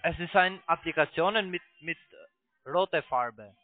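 A man who is not a native speaker, giving instructions on a phone call in halting, broken sentences as a call centre agent. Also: fairly high pitch, no echo. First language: not German. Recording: tinny narrowband phone line.

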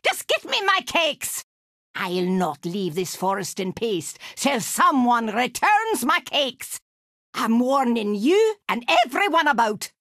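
A woman's voice: a little high, sing-song, slow, fairly bright tone, dry recording, studio quality, full character voice. A woman voicing a crotchety, scheming old witch for a video game, with a Scottish accent and a theatrical, scolding, mock-sweet manner. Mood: demanding, irritated. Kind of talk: animated video game voice acting.

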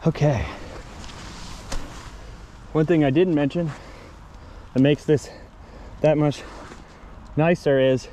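A man with an American accent narrating his fishing trip on a creek bank, recorded on a chest-mounted action camera, relaxed and chatty but excitable when a fish bites. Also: fairly high pitch, slow, long pauses, slight background noise. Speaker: fishing vlogger. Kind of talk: casual outdoor narration. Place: creek bank outdoors in winter.

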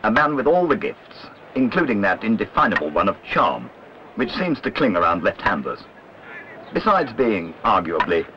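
Low-voiced man narrating, dull old soundtrack. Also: bright, forceful tone. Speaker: documentary narrator. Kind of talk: scripted literary documentary narration. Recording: dull lo-fi old film soundtrack, clear noise.

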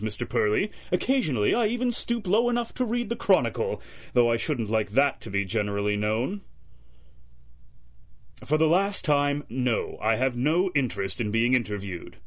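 A man's voice: medium pitch, natural voice. A man playing a proud, formal judge in a theatrical 1930s-style radio drama. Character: haughty male judge, proud, fearful when threatened.

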